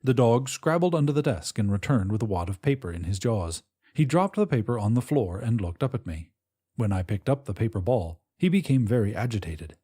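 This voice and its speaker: Mellow male narrator with expressive pitch. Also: a little fast, husky. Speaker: audiobook narrator. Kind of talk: scripted first-person fiction narration.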